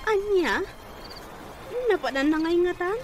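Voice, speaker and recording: A young Filipino sister-in-law in a radio drama. She is protective and outspoken, with a high voice. Music plays clearly underneath. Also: animated, unhurried. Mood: concerned.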